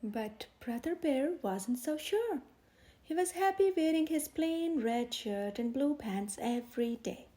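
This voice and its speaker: A woman narrates a children's storybook in a high, mellow voice. Her phrasing is expressive, with plenty of rise and fall. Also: a little slow. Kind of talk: children's picture book read aloud.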